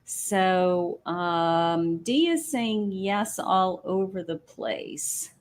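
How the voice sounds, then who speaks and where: Slow, hesitant woman giving psychic readings. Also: fairly low pitch. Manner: slow, hesitant, many ums, thinking aloud. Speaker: psychic medium host. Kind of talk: live-stream psychic reading, casual. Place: home patio.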